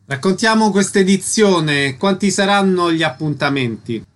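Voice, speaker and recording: A man's voice: sits high, expressive, moderate pace. A man speaking as a radio interviewer, putting questions in a broadcast interview.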